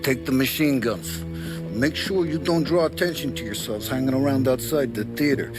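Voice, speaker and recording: Guy playing a mob weapons expert in a game, wry, instructive, medium pitch male voice, music under. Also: normal speed, close to an everyday voice. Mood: matter-of-fact. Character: wry gangster armorer, expert in bombs and guns. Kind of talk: crime game cutscene acting.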